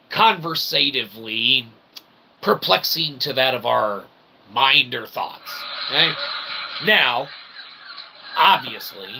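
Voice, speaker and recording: Gravelly man rambling comedically, noisy recording. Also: a little high, sharp tone. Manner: mock-formal, wordy phrasing with frequent check-in tags. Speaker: host of an online show. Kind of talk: rambling comedic monologue to a livestream chat.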